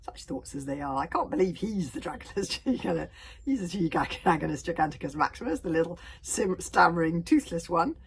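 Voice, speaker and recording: A woman's voice: fairly low pitch, lively intonation, fairly quick, gravelly, breathy edge, exaggerated voice. Woman voicing a huge, growling, furious dragon king. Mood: mocking. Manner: growling, forceful, sneering. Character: huge furious male dragon rebel leader, imperious, scornful.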